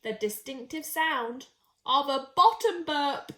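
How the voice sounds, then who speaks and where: A woman's voice: sits high, slow pace, background noise. A woman reading a picture book to young children, expressively and with dramatic emphasis. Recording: echoey, roomy sound.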